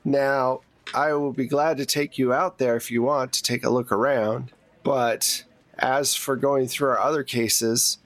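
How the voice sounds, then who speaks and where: A man plays a small-town police chief in his thirties, folksy and defensive, in a tabletop mystery game. He speaks at a middle pitch in a dry, close sound. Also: dry recording, natural voice. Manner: plain-spoken, defensive, folksy.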